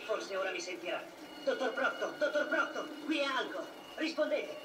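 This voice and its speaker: A man playing an excitable young pilot in a dubbed 1970s anime. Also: very high voice, moderate pace. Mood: alarmed. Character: excitable young male pilot reporting danger. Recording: noisy old film soundtrack, echoey and distant.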